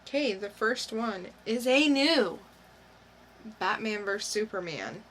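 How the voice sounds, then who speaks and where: A woman's voice: medium pitch, animated, a little slow, a bit bright, slight background noise. A woman co-hosting a podcast, chatting casually in an informal, slangy way. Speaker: podcast co-host. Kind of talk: casual chat.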